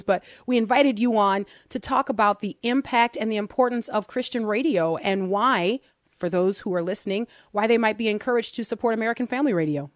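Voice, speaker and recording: A woman's voice: mid-range voice, no echo. Playful woman radio host in a dry studio. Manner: animated, playful, joking banter.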